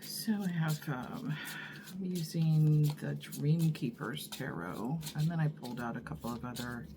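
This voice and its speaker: A woman reading tarot in an unhurried, musing way. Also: deep voice, background noise. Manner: unhurried, musing, many fillers and pauses. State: husky morning voice from allergies. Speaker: tarot reader. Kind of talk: casual tarot reading to camera.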